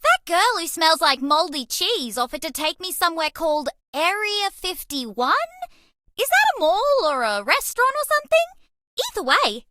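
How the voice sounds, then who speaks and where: Very high, cutesy alien mouse girl. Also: bright, forceful tone, dry recording, studio quality, exaggerated voice. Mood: catty. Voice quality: cutesy, girlish. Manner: playful, coy, dramatic exclamations. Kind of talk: video game character voice acting.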